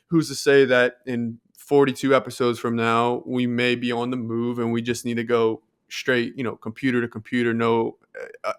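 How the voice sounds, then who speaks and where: A man's voice: mid-range voice, smooth. An American man co-hosting a podcast, explaining his views on tech in a casual, earnest way. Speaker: podcast co-host.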